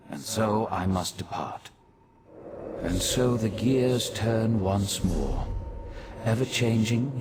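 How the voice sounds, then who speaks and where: A man playing an aloof, weary scholar in a video game, speaking in a measured, formal way. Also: fairly low pitch, noisy background. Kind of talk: scripted video game dialogue.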